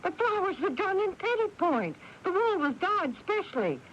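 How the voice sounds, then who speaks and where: A woman's voice: very high voice, sing-song, normal speed, fairly bright tone, full character voice. An actress playing an imperious, possessive older mother, indignant and emphatic in a radio drama. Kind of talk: 1980s radio drama acting. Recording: noisy, muffled old radio broadcast.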